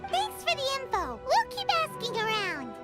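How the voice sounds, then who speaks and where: A woman's voice: very high voice, wildly swooping pitch, moderate pace, over music, exaggerated voice. A woman voicing a small, curious, cheeky childlike fairy companion in a video game. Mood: agreeable. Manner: speaks of self in third person.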